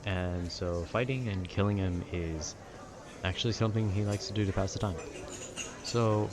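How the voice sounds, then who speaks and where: Low-voiced young man rambling over background noise. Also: moderate pace. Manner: nerdy, meandering, slangy, often trailing off and losing his thread. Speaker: audio content creator rambling to listeners. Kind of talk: casual rambling talk about video games. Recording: clear background noise, urban ambience.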